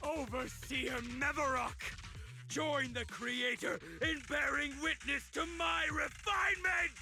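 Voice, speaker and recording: A fanatical villain of a video game, a devout cult doctor with a very high, creaky voice. He declaims grandly and slowly, swinging widely in pitch, over clear background music. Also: sharp tone, exaggerated voice. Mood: fervent exaltation. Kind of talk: dramatic video game voice acting.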